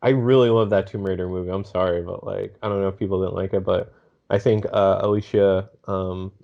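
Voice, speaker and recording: A man's voice: low voice, flat delivery, a little fast, gravelly. A man chatting casually as a podcast panelist with hesitant, laid-back phrasing, on a dull lo-fi microphone. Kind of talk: casual chat.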